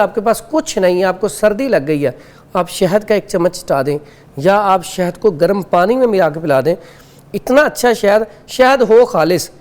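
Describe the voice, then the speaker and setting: A man with a Pakistani Urdu accent, a guest expert on a TV morning show, calmly explaining home remedies step by step. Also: sits high. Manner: calm, instructive, lists remedies step by step.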